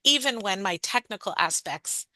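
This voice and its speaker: A woman author chatting candidly and quickly in an interview about how she became a writer. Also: mid-range voice, expressive, bright, forceful tone, dry recording. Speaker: author guest. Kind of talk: casual interview conversation.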